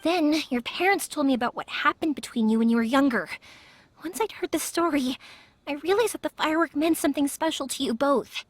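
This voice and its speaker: An actress playing a cheerful, lively young fireworks maker in a video game, talking in a playful, warm-hearted way. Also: female voice, high voice, fairly quick, lightly acted. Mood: earnest. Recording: game soundtrack music faint behind.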